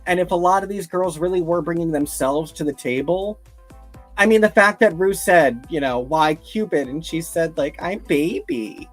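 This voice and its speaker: A co-host with a middle-pitched voice speaks with exasperated emphasis. It is a casual review discussion. Soft music runs underneath. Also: moderate pace. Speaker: podcast co-host.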